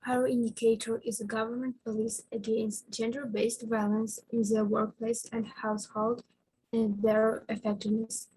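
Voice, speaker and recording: A young woman reading a prepared presentation in halting phrases with some broken wording over a video call. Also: a little high, little pitch movement, mellow, husky, low-quality recording. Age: young adult. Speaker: youth ambassador presenter.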